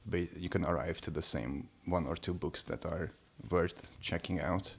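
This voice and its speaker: Hungarian-native man, low creaky voice, halting podcast chatter. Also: steady tone. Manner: hesitant, many restarts and fillers. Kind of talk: casual conversation.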